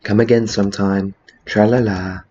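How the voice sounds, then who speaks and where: Man as a low, singsong ferry spirit. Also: level tone, normal speed, warm tone, breathy edge, dull audio. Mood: placid, cryptic. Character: cryptic, placid hooded river ferry spirit. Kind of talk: video game fan dub.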